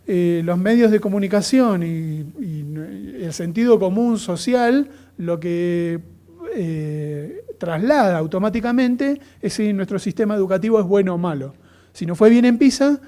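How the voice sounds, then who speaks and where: A man with an Argentine accent lectures in an academic register, his voice sitting fairly high but soft and mellow. He talks thoughtfully, often pausing with fillers and starting phrases over. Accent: Argentine Spanish. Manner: thoughtful, many fillers and restarts. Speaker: lecturer on comparative education.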